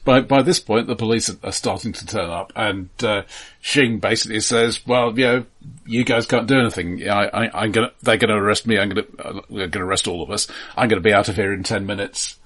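A man with a fairly low, somewhat husky voice talks through a film in a casual co-host's manner. He restarts and doubles words as he goes. His humour stays dry and understated. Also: fairly even intonation, fairly bright tone. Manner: halting, repeats words and restarts often. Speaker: film podcast co-host.

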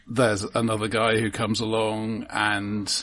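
A man co-hosting a podcast, discussing film casually and analytically in halting, self-correcting phrases. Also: lowish voice, completely flat delivery, fairly bright tone, slight background noise.

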